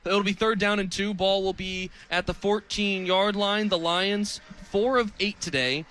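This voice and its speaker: A young American man calling live football play-by-play on radio, speaking in crisp, clipped phrases. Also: high-pitched, measured pace. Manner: crisp, clipped phrases that build to excited calls on big plays. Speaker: play-by-play radio sports announcer.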